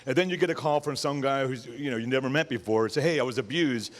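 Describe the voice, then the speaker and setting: A man's voice: medium pitch, fast. A man speaking informally and colloquially as a journalist on a panel. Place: event hall panel. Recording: reverberant hall.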